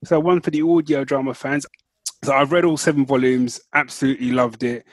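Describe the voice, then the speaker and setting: A man's voice: mid-range voice, steady tone, brisk, dry recording. A man co-hosting a podcast in casual, geeky banter. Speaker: podcast co-host.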